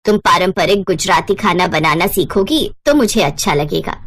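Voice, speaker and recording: A woman's voice: on the low side, normal speed, fairly bright tone, slight background noise, voice pushed down, lightly acted. Woman as a firm, encouraging mother-in-law. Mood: instructing. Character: expectant, instructing middle-aged mother-in-law. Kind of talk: animated storybook character voice.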